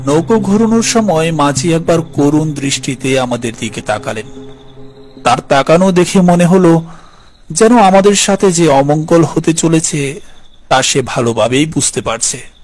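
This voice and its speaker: Polite city gentleman male actor, fairly high voice, dramatic horror-story delivery over clear background music. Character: polite, inquisitive city man narrating his horror tale. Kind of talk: scripted horror narration and dialogue. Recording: loud music under the voice.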